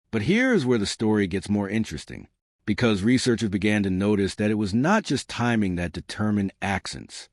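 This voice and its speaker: A man narrating a scripted science explainer, measured and deliberate, with slow emphatic short sentences. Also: fairly low pitch, no room sound, clean studio sound.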